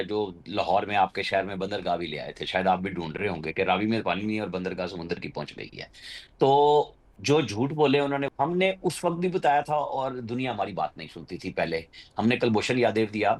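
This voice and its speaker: A man's voice: lowish voice, animated, fairly quick. A man with a Pakistani accent giving unscripted political commentary as a journalist, explaining insistently and at winding length. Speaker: journalist, political analyst guest.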